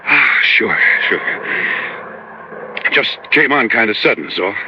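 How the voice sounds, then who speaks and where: A man's voice: lowish voice, expressive, a little hiss or hum. A man playing a hearty, folksy cargo pilot struggling with pain in a 1950s radio drama, on a dull old recording. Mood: wincing. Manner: folksy, halting, gasping.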